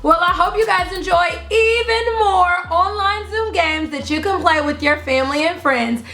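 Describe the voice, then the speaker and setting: Chatty lady host w a really high voice explaining games, bit echoey. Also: normal speed, pushed and bright. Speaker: online video host. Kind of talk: upbeat explainer of party games to camera. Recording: echoey room. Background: music in the background.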